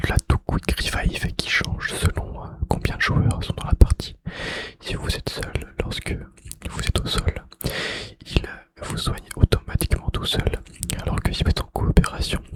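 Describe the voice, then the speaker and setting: A man whispering in a breathy, unvoiced voice with mouth sounds, in an ASMR style, softly repeating phrases. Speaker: ASMR video creator and host.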